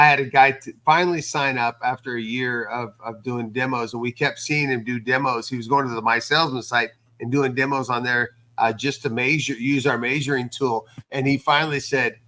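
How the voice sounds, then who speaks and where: Guy cohosting a podcast recap, average pitch, chatty and emphatic, repeats himself to make points. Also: steady tone, a little fast, hardly any pauses, bright, forceful tone, rough recording. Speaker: podcast co-host. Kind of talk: casual recap chat.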